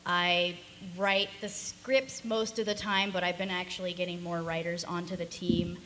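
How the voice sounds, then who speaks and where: A woman's voice: on the low side, level tone, moderate pace, fairly bright tone. A woman speaking informally as host of a convention panel, casual and rambling, in a large hall. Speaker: panel host, series founder and voice actor. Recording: echoey noisy convention hall PA.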